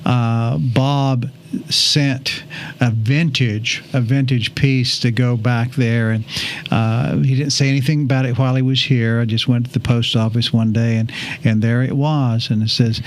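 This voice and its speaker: A male podcast host with a mid-range voice talks in a casual, conversational way. His phrasing is reflective and halting as he puts questions, and there is faint noise behind him. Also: mid-range voice, steady tone.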